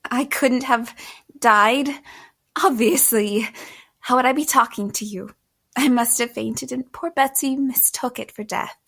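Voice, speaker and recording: An actress playing a wary, proper young woman in a period audio drama, with refined, measured, old-fashioned speech. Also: a little high, fairly bright tone. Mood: feigned composure. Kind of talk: first-person period audio drama narration and dialogue.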